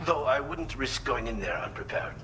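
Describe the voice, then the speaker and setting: A thoughtful mechanic from a video game. His voice is bright and forward at a middling pitch, with a measured, slightly hesitant explanatory manner. There is faint noise in the background. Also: animated. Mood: explanatory, wary. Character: thoughtful male inventor mechanic, earnest and helpful. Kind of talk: video game cutscene dialogue.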